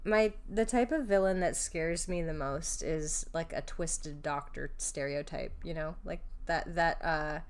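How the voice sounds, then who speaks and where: A woman's voice: low voice, measured pace, few pauses, smooth. A woman streamer reacting casually to a game, chatty and emotive.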